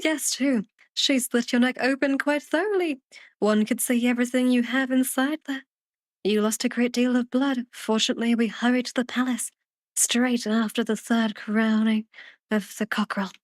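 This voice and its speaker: A woman voicing a garrulous old man from a storybook, fussy and self-deprecating, rambling on in an animated way. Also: fairly high pitch, normal speed, no room sound, studio quality, close to an everyday voice.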